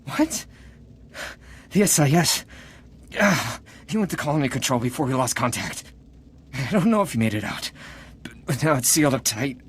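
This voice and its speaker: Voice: medium pitch, sing-song, moderate pace, pauses often, breathy edge. An actor playing a bitter, wounded colonist in a video game, strained, accusing and in pain. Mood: pained, confused. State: in pain, gasping. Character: wounded, bitter, defiant colonist of a conquered world. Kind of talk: dramatic video game acting.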